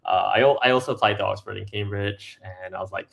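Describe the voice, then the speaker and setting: A man's voice: lowish voice, fast, vocal fry, a bit bright. A young man from Singapore, a startup co-founder talking casually as a podcast guest, full of fillers and restarts. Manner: filler-heavy, restarts often, laughs between points. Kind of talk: casual interview chat.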